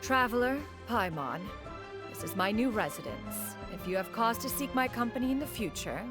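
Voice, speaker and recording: Haughty, stately immortal master, animated delivery. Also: female voice, fairly high pitch, normal speed, over music. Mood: composed, proud. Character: proud, formal adeptus woman, haughty but doting master. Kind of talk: video game voice acting.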